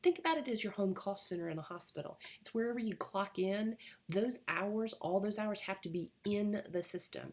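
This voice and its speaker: A woman course instructor recording class reminders, her voice husky as she gets over a cold, talking with many fillers. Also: on the low side. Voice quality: husky, fading voice. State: getting over a cold, coughing.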